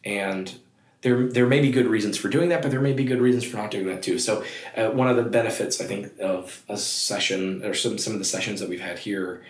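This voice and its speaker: A man speaking in a halting, thoughtful way about an idea. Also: fairly low pitch. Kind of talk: reflective talk. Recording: echoey room.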